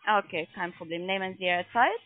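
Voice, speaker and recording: A woman who is not a native speaker, giving a halting telephone sales pitch as a call centre agent, often checking with questions. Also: dry recording. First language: not German. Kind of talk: persuasive phone sales pitch. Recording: tinny narrow phone line.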